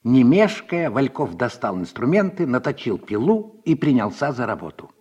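A man narrating a literary radio drama in a measured, expressive storytelling manner. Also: mid-range voice, muffled recording. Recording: husky-sounding old radio recording with music.

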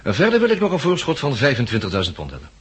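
Shrewd, husky smuggler, swooping pitch. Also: male voice, wildly swooping pitch, racing, heavily acted. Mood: smooth bargaining. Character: shrewd male seed smuggler driving a hard bargain. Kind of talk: 1960s Dutch radio drama acting.

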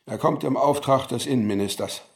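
An actor playing a polite, formal police official in a radio play. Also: male voice, mid-range voice, a little fast, vocal fry, close to an everyday voice. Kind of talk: German radio play acting.

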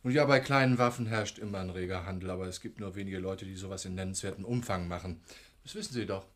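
An actor in a German radio play playing a worldly, sardonic arms dealer, dry and businesslike. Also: male voice, low voice, fast, fairly bright tone.

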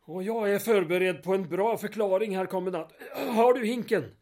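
A man's voice: sits high, a little mellow, a little hiss or hum. A man acting a put-upon town mayor in an audio drama, with a dry, sardonic manner. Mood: dryly practical. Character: exasperated, sarcastic male town mayor doing plumbing.

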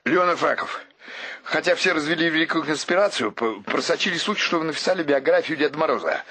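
An actor playing a brisk, pushy publisher in a radio play, pressing his offer like a businesslike salesman, with a husky voice. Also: a little high, bright, forceful tone. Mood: pushy, persuasive. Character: brisk, pushy male publisher pitching a lucrative deal.

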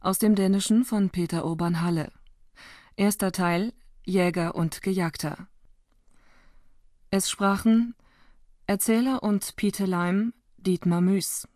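A woman announcing radio drama titles and credits in a formal, deliberate, scripted manner. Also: mid-range voice, steady tone, darkish tone, no echo, studio quality. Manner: formal, deliberate list reading.

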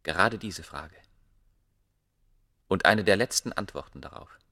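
Proud scholar of antiquity, tense forceful tone, grave declamation, dry studio radio drama. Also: male voice, low voice, expressive, no echo, heavily acted. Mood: grave, intense. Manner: grave, declamatory, deliberate phrasing. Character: proud, obsessive ancient scholar revealing his discovery. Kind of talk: German radio play acting.

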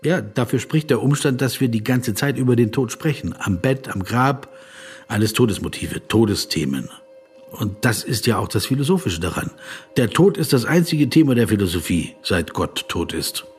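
An actor playing an earnest, politely persistent philosopher in a radio play, with a husky voice and a reflective, probing manner. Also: male voice, medium pitch, lively intonation, normal speed, faint music behind, close to an everyday voice. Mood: earnest, arguing.

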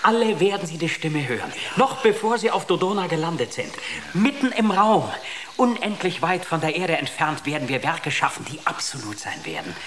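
Fervent, animated space utopian. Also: female voice, low-pitched, normal speed, slight background noise. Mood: fervent, visionary. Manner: rhapsodic, persuasive, declarative. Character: serene, fervent believer in an artistic space paradise. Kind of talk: German radio drama acting.

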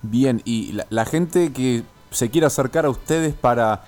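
A man's voice: medium pitch, measured pace, darkish tone, soft background music. A man with a Buenos Aires accent asking questions casually as a radio co-host, hesitant and informal. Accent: Argentine, Buenos Aires. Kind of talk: casual radio interview chat.

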